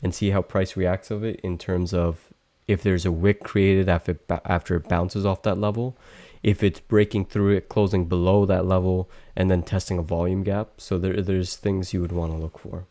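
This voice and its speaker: Young man, low voice, monotone, halting restarts, casual live stream lesson. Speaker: trading stream host.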